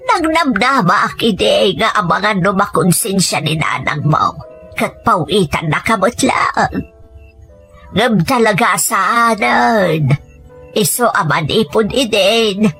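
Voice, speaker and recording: A woman's voice: medium pitch, expressive, slow pace, creaky, a bit bright, faint music behind, heavily acted. A woman playing a caring, sorrowful elderly grandmother in an Ilocano-accented audio drama.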